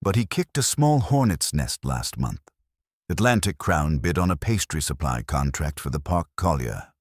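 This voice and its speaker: A man voicing a breezy, wry newspaperman in a detective audiobook, brisk and wisecracking. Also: a bass voice, big pitch swings, dry recording, studio quality, exaggerated voice.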